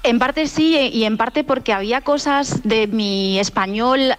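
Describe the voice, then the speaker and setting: A woman's voice: fairly high pitch, soft background music. A woman author as an interview guest, speaking candidly and reflectively about herself. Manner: quick, candid, self-reflective.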